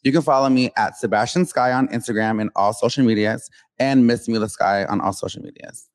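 A man's voice: medium pitch, a little fast, no echo. A man as a talk show guest, chatty and sassy. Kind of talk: casual chat.

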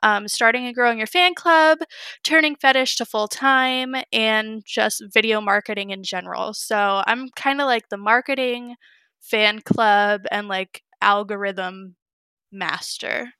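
A woman's voice: a little high, unhurried, bright, forceful tone, clear, resonant tone, no echo. A woman in her twenties, a guest on an interview, joking playfully and giggling often as she explains her consulting work. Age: 20s. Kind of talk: casual interview chat.

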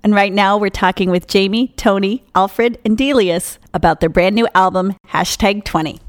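A woman hosting a radio show, asking guests questions briskly and upbeat. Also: medium pitch, no echo, clean studio sound. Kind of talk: radio interview.

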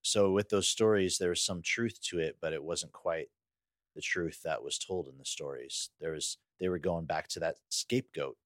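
A man's voice: sits low, normal speed, a bit bright, no room sound, studio quality. A man with an American accent co-hosting a podcast in a casual, thoughtful conversational style. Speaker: podcast co-host.

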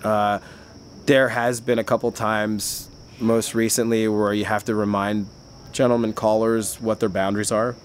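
A man's voice: on the low side, little pitch movement, measured pace, slight background noise. A man who works at a bar, talking in casual chat, rambling and chatty with frequent restarts and filler words. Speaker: bar worker.

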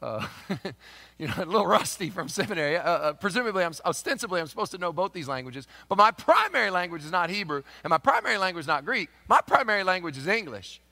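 A Kentucky pastor preaching in a big church hall, his voice bright and a little higher than most men's. He rises to loud, emphatic proclamation, then drops into soft, chatty asides. A long reverb trails every phrase. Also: big pitch swings, fast talker, vocal fry. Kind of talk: sermon.

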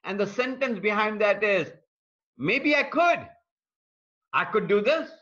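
A man preaches to an online congregation in a high, bright voice. He stacks repeated phrases and addresses viewers directly as he builds each point. The stream audio sounds dull and lo-fi. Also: lively intonation, a little slow. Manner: repetitive, emphatic preaching cadence. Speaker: pastor. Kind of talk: online sermon. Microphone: streaming call mic.

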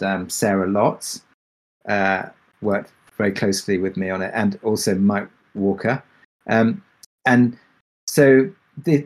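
Male creative lead, lower register, informal panel answers, halting and reflective delivery. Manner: halting, thinking aloud. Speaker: podcast drama creator and producer.

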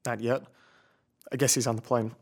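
Man acting as sullen teenage boy, middling pitch, curt, irritable, evasive, radio drama. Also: flat delivery, moderate pace, vocal fry.

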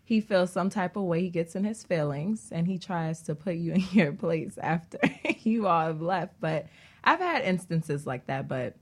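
Woman guest on a talk show, slightly low voice, just chatting. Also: lively intonation, moderate pace, a little mellow. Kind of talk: casual chat.

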